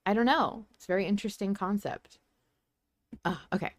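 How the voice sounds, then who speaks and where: Woman podcast host, medium pitch, dry close sound, conversational, reasoning things through aloud with plenty of qualifiers. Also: fairly quick, dry recording. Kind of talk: casual speculative discussion.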